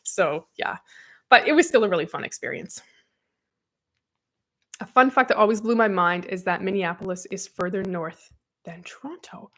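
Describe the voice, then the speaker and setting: A young adult woman co-hosts a casual chat and talks in a loose, rambling way. She fills her sentences with "like" and pokes fun at herself. Her voice sits in a middle pitch. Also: moderate pace, pauses often, fairly bright tone. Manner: chatty, rambling, self-deprecating, many "like"s. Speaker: podcast co-host.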